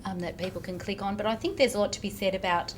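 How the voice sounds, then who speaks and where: A woman barrister talking in a lively, animated way on a panel. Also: medium pitch, quick pace. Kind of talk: panel discussion. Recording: noticeable background hiss.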